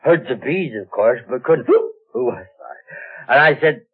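An actor playing a drunk, aggrieved beekeeper who rambles and repeats himself in a 1940s radio drama. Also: male voice, mid-range voice, expressive, unhurried, slightly rough. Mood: tipsy, aggrieved. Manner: slurred, repetitive, rambling drunken talk. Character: tipsy, sacked male beekeeper, rambling and aggrieved. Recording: muffled, band-limited old radio recording.

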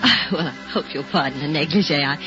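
A coy, flirtatious wife. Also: female voice, sits low, brisk, bright, forceful tone. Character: flirtatious married woman in a negligee. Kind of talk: 1940s radio acting. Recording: lo-fi radio with music, off-mic.

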